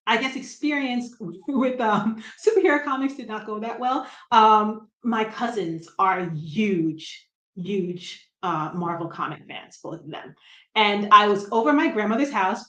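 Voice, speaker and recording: Middle-aged woman, light Brooklyn accent, chatty guest on a video call, higher voice, roomy echo. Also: sharp tone. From New Jersey. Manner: chatty, self-deprecating, laughs often. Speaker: guest comic writer. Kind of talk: casual interview chat. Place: room at home.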